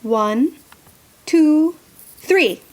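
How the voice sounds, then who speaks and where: A woman plays a dutiful young daughter in an audio drama. The voice is high and earnest, with an eager, trusting way of speaking. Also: lively intonation, extremely slow, slight background noise, exaggerated voice. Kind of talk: audio drama acting with some narration.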